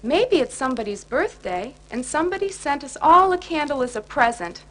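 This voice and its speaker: A woman's voice: high voice, sing-song, normal speed, fairly bright tone, pitched above the performer's own voice. A woman voicing an eager, helpful cartoon bear, earnest in manner, in a children's storybook. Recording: noisy 1980s children's record.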